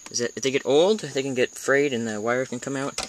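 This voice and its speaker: An American man giving a casual, hands-on video tutorial in an informal, halting way, thinking aloud as he goes. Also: mid-range voice. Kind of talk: casual hands-on how-to demonstration. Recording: noisy amateur camera recording with clicks.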